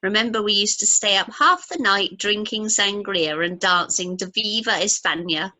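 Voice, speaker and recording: A woman's voice: mid-range voice, moderate pace, sharp tone, rough recording. A woman playing a chatty, gushing, frank young mother-to-be in an amateur radio play, with a gossipy manner. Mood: curious, gossipy.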